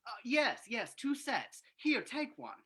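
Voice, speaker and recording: A woman's voice: on the high side, sing-song, measured pace, a bit bright. A woman playing a villainous man who hides a maniacal streak behind apologetic meekness in an old-time radio drama. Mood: meek, apologetic. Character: villainous Mister Darrow, meekly apologetic man turning maniacal.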